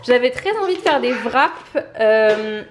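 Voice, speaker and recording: A woman's voice: on the high side, lively intonation, unhurried, crowd noise. A woman vlogging at home on a handheld camera, stuffed up with a head cold, rambling chattily and laughing often. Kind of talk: casual home vlog. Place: home, indoors.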